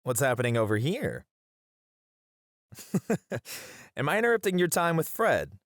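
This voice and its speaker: A man's voice: on the high side, sing-song, unhurried, dry recording, studio quality. A man playing a playful, theatrical colleague at a college who loves teasing, with a dramatic, flowery manner in an ASMR roleplay. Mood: cheerful, curious.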